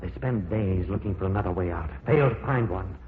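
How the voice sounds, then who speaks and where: A man playing a calm, theorizing professor in an old radio drama, speaking in a measured, explanatory way. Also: low-pitched, a little hiss or hum, close to an everyday voice. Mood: explaining. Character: older male professor, calm, theorizing, steady. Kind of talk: 1950s radio drama acting. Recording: tinny lo-fi old radio broadcast.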